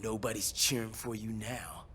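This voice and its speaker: A man's voice: fairly low pitch, normal speed, slight background noise. An actor playing a brash, wisecracking young male superhero in a video game cutscene. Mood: taunting. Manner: brash, taunting. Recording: loud cinematic music behind.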